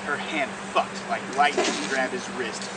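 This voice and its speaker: A man's voice: mid-range voice, normal speed. Man reading aloud, far from the mic, very noisy. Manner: breaks into laughter while reading. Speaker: co-host reading a mad-libs story aloud. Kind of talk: amused story reading with casual banter. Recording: very noisy, distant mic, steady background hum.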